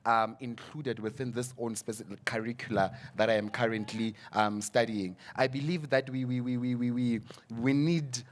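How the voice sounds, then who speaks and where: A man's voice: mid-range voice, fairly even intonation, normal speed, few pauses. Earnest young man, stammering on repeats. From South Africa. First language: an African language. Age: young adult. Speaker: student representative. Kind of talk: panel discussion.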